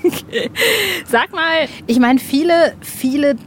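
Bubbly female interviewer with a higher voice, loose casual questions, light hiss behind her. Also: takes it slowly. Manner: enthusiastic, giggly, informal. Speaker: podcast host, interviewer.

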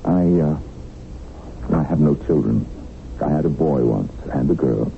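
Very deep, gravelly American captive tycoon. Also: male voice, a little slow, heavily acted. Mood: subdued, confessional. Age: middle-aged. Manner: wry, ruminative, theatrical. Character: captive middle-aged male tycoon, world-weary, sardonic, reflective. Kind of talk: 1970s radio drama acting. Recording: tinny, hissy 1970s radio broadcast.

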